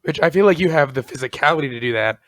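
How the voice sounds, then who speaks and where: A man talking very fast in teasing banter on a podcast. His pitch sits in the middle, and the sound is dry and close. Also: animated, fairly bright tone, no room sound. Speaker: podcast co-host. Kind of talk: casual chat. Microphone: studio mic.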